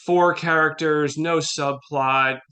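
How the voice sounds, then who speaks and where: A man chatting casually as an interview guest, halting as he thinks aloud. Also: fairly high pitch, fairly even intonation, bright, forceful tone. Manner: halting, thinks aloud, acts out imagined remarks. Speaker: guest playwright.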